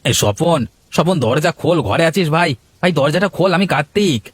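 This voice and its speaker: A man's voice: on the high side, lively intonation, fairly quick, a bit bright, no echo. A man voicing an earnest, loyal village uncle in a cartoon, urgent and pleading in his way of talking. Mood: alarmed, urgent. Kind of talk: cartoon voice acting.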